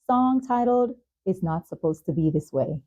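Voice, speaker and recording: Mellow-voiced woman hosting a podcast. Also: on the low side, expressive, normal speed. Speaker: talk show and podcast host. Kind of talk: interview hosting and scripted sponsor reads.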